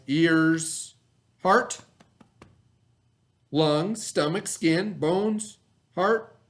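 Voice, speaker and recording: A man teaching a read-aloud lesson for children, speaking instructively, repeating key words and prompting with questions. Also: on the high side.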